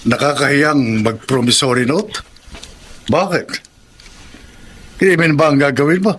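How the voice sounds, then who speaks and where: A man's voice: a little high, a little slow, a bit bright, husky. A man playing a proud, stern middle-aged farmer father with an emphatic delivery in a Filipino radio drama. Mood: offended. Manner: emphatic, rhetorical. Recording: dramatic music behind the voice.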